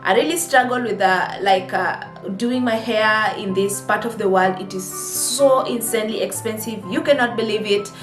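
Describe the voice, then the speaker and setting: A woman's voice: mid-range voice, normal speed. A young adult woman from Kenya with a Kenyan accent, chatting casually to camera as a vlogger, full of exclamations and asides. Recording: echoey room with faint music.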